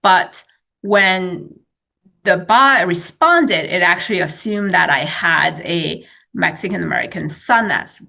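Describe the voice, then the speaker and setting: A woman's voice: fairly low pitch, takes it slowly, vocal fry, pushed and bright. A woman whose first language is Chinese, explaining research in a webinar presentation with many fillers and restarts, speaking over a video call.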